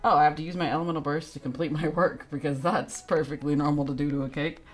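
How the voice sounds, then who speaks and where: A woman's voice: deep voice, fairly even intonation, quick pace. A woman game streamer giving casual, irreverent, self-mocking live commentary with some swearing. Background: faint game music.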